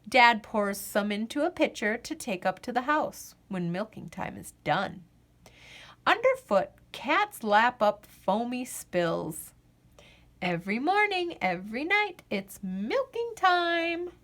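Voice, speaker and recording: A woman narrates a children's picture book at an unhurried pace. Her pitch sits on the high side and moves up and down freely, and she phrases each line deliberately, stretching out the refrains. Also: big pitch swings, a bit bright. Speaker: storyteller reading a children's picture book aloud. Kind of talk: expressive children's read-aloud narration.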